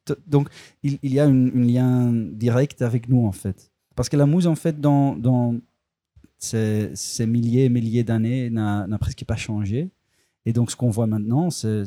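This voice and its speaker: A man's voice: mid-range voice, dark tone, no echo. A filmmaker, a man speaking a language that is not his first, answers interview questions hesitantly, restarting and searching for words. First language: not French.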